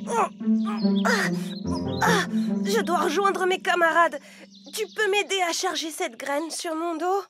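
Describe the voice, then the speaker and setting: A woman voicing a small, hardworking, sensible ant in a French children's animated fable, speaking in an earnest, matter-of-fact way. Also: very high voice, slow, long pauses. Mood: polite, weary. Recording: loud music behind the voice. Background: music.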